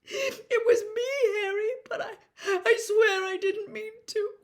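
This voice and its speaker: A young schoolgirl from a storybook, frightened and full of guilt. Her voice is very high and loud, breaking into tearful, halting sobs as she wails. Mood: sobbing, panicked. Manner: tearful, gasping, halting wailing delivery. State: crying.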